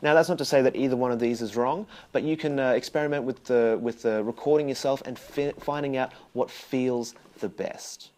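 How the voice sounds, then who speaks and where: A young man teaching bass, talking straight to the viewer in a chatty, explaining way and asking questions as he goes. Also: a little fast, few pauses, darkish tone. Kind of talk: direct-to-camera instructional talk.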